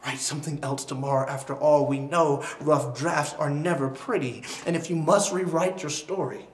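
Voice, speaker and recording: A man performing spoken word poetry with a dramatic, deliberate delivery, using pauses and emphasis. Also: fairly high pitch, steady tone. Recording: roomy echo with faint background noise.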